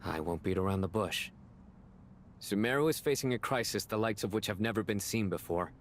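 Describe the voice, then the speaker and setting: A stern general acted by a man with a low voice and blunt, formal delivery. He sounds serious and dutiful, like fantasy game dialogue, with a faint rumble behind. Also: fairly quick, natural voice. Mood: grave, resolute. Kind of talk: game voice acting.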